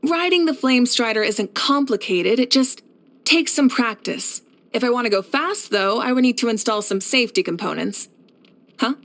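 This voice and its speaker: Confident young fire archon lady, warm game heroine, kinda high voice, poised and earnest. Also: animated, moderate pace. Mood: friendly. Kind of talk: scripted video game character voice lines.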